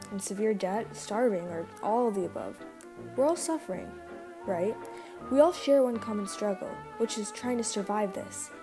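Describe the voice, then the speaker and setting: A woman playing an opinionated Depression-era radio host, talking insistently and conversationally with many rhetorical questions. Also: fairly high pitch.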